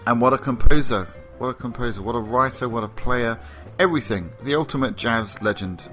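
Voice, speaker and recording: A man hosting a podcast, talking casually and enthusiastically with lots of fillers, a bit sleepy and croaky. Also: fairly low pitch, fairly bright tone. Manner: rambling, enthusiastic, frequent fillers. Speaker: podcast host.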